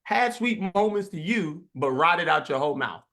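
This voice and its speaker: A forty-year-old man speaking as an interview guest, slow, earnest and reflective. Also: fairly high pitch, lively intonation, gravelly, sharp tone. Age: 40s. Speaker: guest musician. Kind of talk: casual interview chat.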